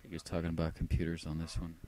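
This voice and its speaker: A man talking casually and reflectively about his own old tapes, halting and rambling with a wry edge. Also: deep voice, completely flat delivery, heavy background noise. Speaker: vlogger playing old answering machine tapes. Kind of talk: casual rambling personal narration.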